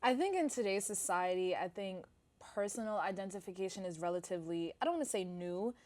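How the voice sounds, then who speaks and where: A woman's voice: mid-range voice, expressive, fairly quick, darkish tone. A young woman co-hosting a casual talk show, reflective and a little searching as she talks. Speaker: podcast co-host. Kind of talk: casual discussion.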